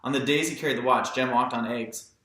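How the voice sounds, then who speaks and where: A man's voice: mid-range voice, steady tone, fairly quick, a bit bright. A man narrating a novel aloud in a dramatized audiobook style. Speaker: amateur audiobook reader. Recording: very echoey room.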